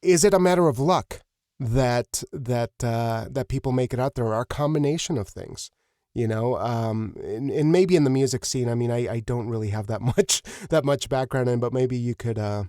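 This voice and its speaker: Man, podcast host, conversational, earnest, halting with repeated words, mid-pitched, very dry recording. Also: warm tone, no echo. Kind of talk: conversational interview.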